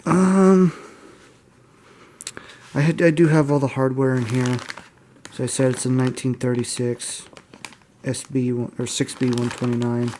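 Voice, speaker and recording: Male hobbyist host, early twenties. Mid-range pitch, informal and unscripted, readily admits what he doesn't know. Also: fairly even intonation, unhurried, pauses often, darkish tone, a little hiss or hum. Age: early 20s. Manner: unscripted, unsure, self-deprecating. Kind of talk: casual informal show-and-tell.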